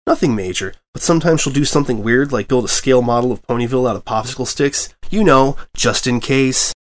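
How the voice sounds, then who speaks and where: A man voicing a cheeky young male baby dragon sidekick who teases and gets excited. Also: medium pitch, fast talker, close to an everyday voice. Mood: gleeful. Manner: excitable, teasing.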